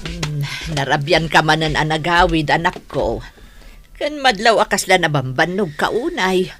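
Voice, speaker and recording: A woman's voice: deep voice, expressive, moderate pace, fairly bright tone. A woman playing a caring, soothing mother of a grown son in a Filipino radio drama, with an Ilocano accent. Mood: concerned. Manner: soothing, concerned.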